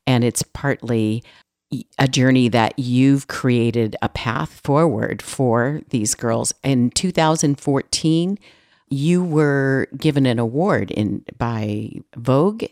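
A man's voice: mid-range voice, slow, a little mellow, dry recording. Male interview host, reflective and affirming, dry close sound. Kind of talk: podcast interview.

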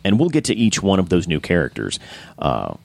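A man hosting a casual podcast chat, enthusiastic and rambling, with frequent restarts and a slightly hoarse voice. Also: sits low, wildly swooping pitch, fairly quick. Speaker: podcast host.

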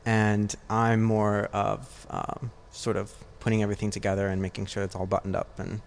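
A man with a fairly low voice talks as a guest in a casual interview. He speaks in a hesitant way, feeling for the right words as he explains things. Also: little pitch movement, normal speed, creaky. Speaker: podcast guest, design business partner. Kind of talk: casual conversational interview answers.

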